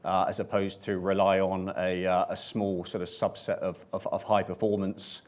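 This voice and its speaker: Low, flat-voiced male consultant. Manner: measured, hesitant with many fillers. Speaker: panelist, management consultant. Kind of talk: conference panel answer. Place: conference room with audience.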